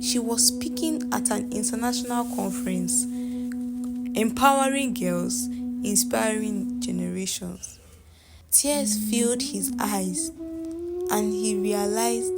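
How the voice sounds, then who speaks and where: Slow, highly animated woman storyteller. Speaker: storyteller narrator. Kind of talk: scripted folktale narration. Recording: loud music under the voice. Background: music.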